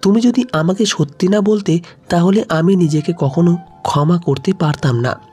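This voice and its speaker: A man's voice: high voice, normal speed, warm tone, breathy edge, soft background music, voice pushed up. A man voicing a resolute young woman official in a story, polite but firm. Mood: tender resolve.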